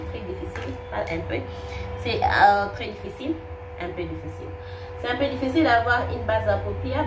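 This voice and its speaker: A young woman with a cold, chatting through a makeup tutorial and often repeating herself. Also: mid-range voice. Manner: chatty, repeats words, many fillers. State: has a cold. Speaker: beauty vlogger. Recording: roomy, distant, noisy home recording.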